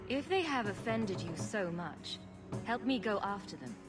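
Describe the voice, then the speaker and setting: An actress playing a bold adventurer heroine in a video game, with an assured, sardonic delivery. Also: female voice, medium pitch, big pitch swings, unhurried, music in the background. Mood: wry. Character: bold, dry-witted adventurer heroine, adult woman. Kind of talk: dramatic video game acting.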